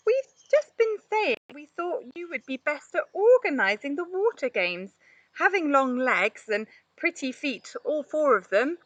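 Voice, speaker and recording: Woman as a hesitant, high-voiced tortoise. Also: very animated, a little slow, a bit bright, dry recording, dull audio, heavily acted. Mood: nervous, tactful. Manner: hesitant, tactful. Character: shy but caring tortoise, gathering courage.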